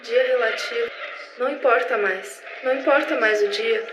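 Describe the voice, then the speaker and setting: A woman's voice: fairly high pitch, normal speed, natural voice. A Brazilian woman playing a frightened agent in an audio drama, reporting a catastrophe. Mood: grave, tense. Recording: echoey, noisy room with ambience.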